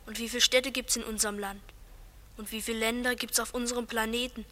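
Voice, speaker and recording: Thoughtful, earnest schoolboy poet, high voice. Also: female voice, little pitch movement, fast, bright, forceful tone. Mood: pensive. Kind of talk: children's radio play acting.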